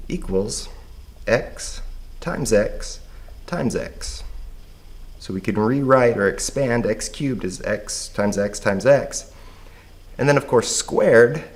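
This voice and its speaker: Hoarse man teaching math. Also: medium pitch. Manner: patient step-by-step explaining. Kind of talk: recorded math lesson.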